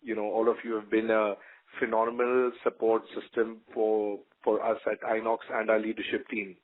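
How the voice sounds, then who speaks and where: A man giving a semi-formal, halting, appreciative address as a company executive. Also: lowish voice, monotone. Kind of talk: semi-formal thank-you address on a conference call. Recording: narrow tinny phone line.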